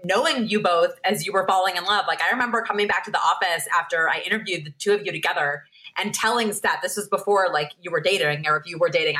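Woman host, bright voice, affirming interviewer on a chat podcast. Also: fairly low pitch, low-quality recording.

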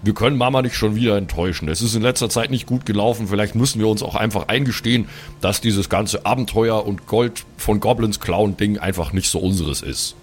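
A man acts out a dutiful adventurer in a fantasy audio play. He reasons earnestly and resignedly, pausing as he thinks, over faint music. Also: on the low side, close to an everyday voice. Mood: chiding, resigned. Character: dutiful, studious older-brother adventurer, resigned and practical.

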